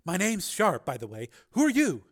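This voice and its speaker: Man playing an uneasy, raspy male passenger. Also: high-pitched, wildly swooping pitch, normal speed, pitched above the performer's own voice, full character voice. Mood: grateful, curious.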